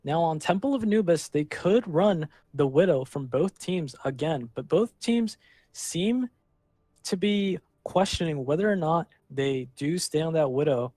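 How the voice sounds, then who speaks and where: A man casting a live online match, calling the action and filling pauses with rambling, self-deprecating asides. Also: on the high side, mellow, dry recording. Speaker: esports caster. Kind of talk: live game commentary.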